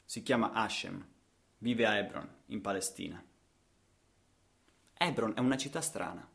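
A man's voice: on the low side, unhurried, gravelly, fairly bright tone. Male actor for a radio theatre monologue; rhythmic, dramatic storyteller delivery. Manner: dramatic, rhythmic delivery with repetitions and rhetorical questions.

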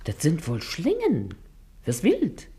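An actress playing a shrewd, bossy middle-aged working-class wife in a radio play, speaking blunt eastern German dialect. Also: female voice, low voice, wildly swooping pitch, slow, gravelly, dark tone, a little hiss or hum, full character voice. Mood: feigning innocence. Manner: folksy, blunt, dialect-heavy.

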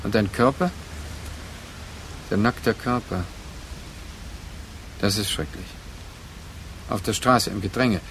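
An actor in a radio drama playing a brooding, wounded man haunted by a former lover, terse, deliberate and reflective. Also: lowish voice, a bit bright, slight background noise, rough recording. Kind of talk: introspective radio drama monologue and dialogue.

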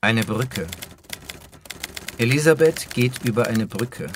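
A man's voice: medium pitch, animated, takes it slowly. Male narrator reading screenplay, faint noisy backdrop. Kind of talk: scripted narration of scene directions.

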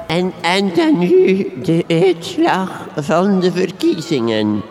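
A woman playing an awkward, halting village official with a Flemish accent, making announcements in a comic radio drama. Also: lowish voice, sing-song, over music. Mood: flustered. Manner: halting, flustered. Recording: crowd noise behind the voice.

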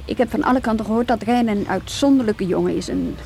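An actress in a Dutch radio drama playing a polite, persistent juvenile police officer, tentative and earnest. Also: female voice, mid-range voice, fast talker, creaky, darkish tone, a little hiss or hum, close to an everyday voice.

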